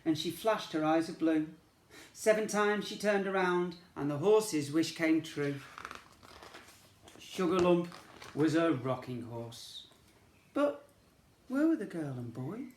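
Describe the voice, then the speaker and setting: A male teacher reads a picture book aloud to young children. His pace is slow and gentle, and he breaks off now and then for asides to his listeners. The recording has a roomy, echoey sound. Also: sits high, expressive, a little hiss or hum.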